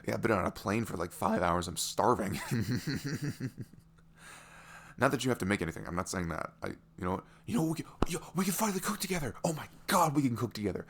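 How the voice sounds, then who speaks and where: A man's voice: mid-range voice, lively intonation, moderate pace, long pauses, a little hiss or hum, pitched above the performer's own voice, natural voice. A man playing an adorable, lovestruck young boyfriend in an ASMR romance roleplay, giggly and gushing as he stumbles over words. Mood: excited, giddy.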